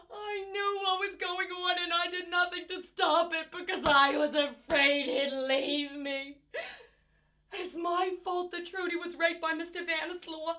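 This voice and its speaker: A woman's voice: sharp tone, recorded from a distance, full character voice. A woman acting a frantic mother on a witness stand who gasps, denies everything and finally breaks down sobbing. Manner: shrill gasping outbursts, sobbing confession. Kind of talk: audition scene reading.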